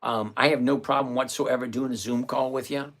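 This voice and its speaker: A man hosting a live stream, talking through his options trades out loud and answering viewers by name in a chatty way from a home desk full of screens. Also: medium pitch, fairly even intonation, brisk. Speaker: livestream host and options trader. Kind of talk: live-stream trading commentary, reading chat aloud.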